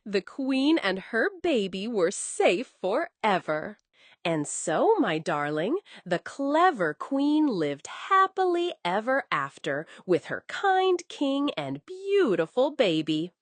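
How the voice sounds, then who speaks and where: A woman's voice: a little high, big pitch swings, slow, dry recording. A woman narrating a scripted bedtime story for young children, coddling the listener with endearments.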